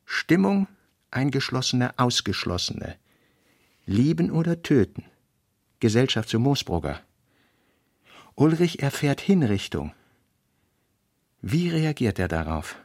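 A man narrating a literary radio drama, reading fragmentary notes in measured, clipped, deliberate phrases. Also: medium pitch, very animated, no room sound, clean studio sound.

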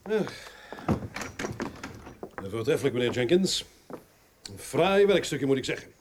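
A man's voice: medium pitch, animated, measured pace, background noise, close to an everyday voice. Man playing a domineering, pompous aristocratic father. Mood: pompous, impatient. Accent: Flemish. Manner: pompous, curt, bullying. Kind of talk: radio drama acting.

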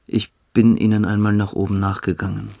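A man playing a calculating, cruel young military-school cadet in a German radio drama, speaking with deliberate, coolly superior menace. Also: lowish voice, completely flat delivery, vocal fry, warm tone. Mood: contemptuous, confiding.

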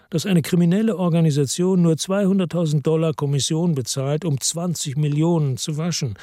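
A man's voice: fairly high pitch, dark tone, no echo, studio quality. A man narrating a radio drama in the first person, brooding and introspective.

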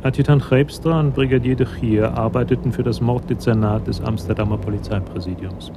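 An actor serving as the composed narrator of a German radio crime drama, reading scripted prose. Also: male voice, medium pitch, steady tone, a little fast, creaky, background noise, close to an everyday voice. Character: composed storyteller of a police tale.

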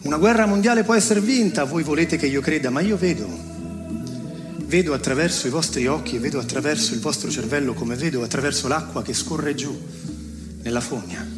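A man's voice: fairly high pitch, brisk, lightly acted. Man playing a defiant young accuser, theatrical recitation, declamatory, insistent repetition, long hall reverb, prominent backing music. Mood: bitter, condemning. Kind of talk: theatrical spoken-word recitation.

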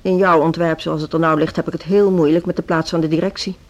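An actress playing a seasoned, resigned music school headmistress in a radio drama, composed and patronising. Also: female voice, deep voice, steady tone, fast talker, a little mellow, natural voice. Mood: conciliatory. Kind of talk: Dutch social radio drama acting.

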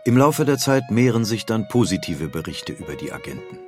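A man's voice: lowish voice, big pitch swings, a little fast, darkish tone, soft background music. A man delivering scripted documentary narration.

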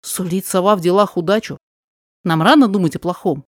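A woman's voice: lowish voice, a little fast, no room sound, clean studio sound, lightly acted. A woman voicing a blunt, insistent younger man in a rhymed audio drama, pressing impatiently with questions and orders. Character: impatient, forthright younger man, sorcerer's companion.

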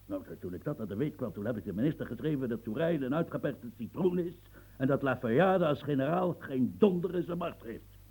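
A gravelly, boastful old marquis and marshal of France in a radio play, coarse and proud. His voice is husky and dark, pitched a little high for a man. He grumbles low, then bursts out loudly. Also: lively intonation, fairly quick, low-quality recording. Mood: indignant. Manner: gruff, boastful, swings from muttering to outbursts. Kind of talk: radio drama acting.

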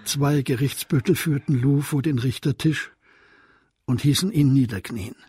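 A man narrating a radio crime drama in a measured, formal storytelling manner. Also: medium pitch, warm tone, slightly rough, dry recording, clean studio sound.

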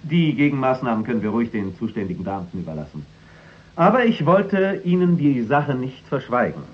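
A man's voice: medium pitch, sing-song, a little fast, lo-fi sound, lightly acted. A man acting a serious, officious cabinet minister in a 1960s German radio play, formal and matter-of-fact. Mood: concerned, formal.

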